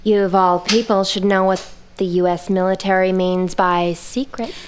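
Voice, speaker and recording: Noir drama mystery wife, female performer: guarded, polished, haughty woman, medium pitch, slightly noisy recording. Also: fairly even intonation, normal speed, lightly acted. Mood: guarded, pleading. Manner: cool, formal, guarded. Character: guarded, proud, glamorous 1940s femme fatale military wife. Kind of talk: 1940s noir radio drama acting.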